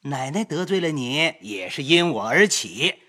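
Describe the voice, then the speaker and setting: Sheepish young nobleman for a storybook, soft and placating, pitch moving a lot; man doing it. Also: very animated, measured pace, heavily acted. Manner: sheepish, laughing softly, placating. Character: sheepish young nobleman apologizing with nervous laughs.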